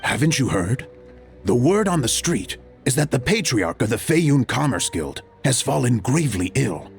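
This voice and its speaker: A man's voice: medium pitch, sing-song, moderate pace, gravelly, faint music behind. A man playing a fussy, superstitious gossip in a video game, speaking in an effusive, dramatic manner. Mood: alarmed gossip. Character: fussy, theatrical, superstitious male opera-loving gossip.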